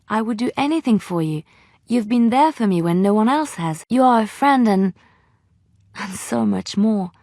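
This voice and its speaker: An actress playing a gentle, wistful young bard in a video game, speaking in a measured, tender way. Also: female voice, mid-range voice, no room sound, clean studio sound. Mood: tender, affectionate. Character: gentle romantic young female bard adventurer, wistful but resilient. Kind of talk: video game character dialogue.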